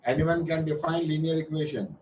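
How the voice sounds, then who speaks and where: A man's voice: a little high, fairly even intonation, brisk, darkish tone. A man with an Indian English accent teaching a maths class online, patient and repeating his instructions, sometimes switching into Hindi. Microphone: video call. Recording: muffled distant online-class audio.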